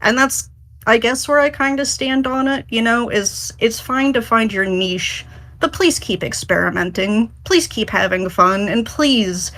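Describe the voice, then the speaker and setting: A woman's voice: on the high side, a little slow, fairly bright tone. A woman speaking casually as a panelist on a podcast, hedging and self-deprecating as she gives her view. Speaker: panelist, script writer.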